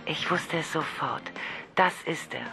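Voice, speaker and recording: A woman's voice: low-pitched, a little slow, creaky, bright, forceful tone, faint music behind, full character voice. An actress playing a lonely, shy woman who calls a man on the phone, tipsy, nervous and obsessive, often whispering. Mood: confiding. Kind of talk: radio drama acting.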